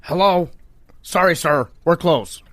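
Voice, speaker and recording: A man's voice: fairly high pitch, takes it slowly, fairly bright tone, no echo. A man playing a grumpy, weary cartoon squid cashier who complains sarcastically. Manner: irritated, complaining.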